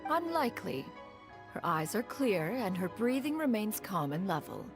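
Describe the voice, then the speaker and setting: Haughty immortal adept, animated pitch. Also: female voice, moderate pace, over music. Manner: formal, archaic, haughty. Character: lofty, self-assured immortal adept woman. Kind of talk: video game voice acting.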